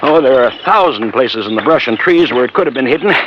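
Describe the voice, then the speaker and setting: An actor playing an agitated, evasive doctor of science in a 1950s radio drama, speaking in a halting, dramatic way. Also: male voice, medium pitch, expressive, close to an everyday voice. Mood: urgent. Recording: muffled, noisy old radio recording.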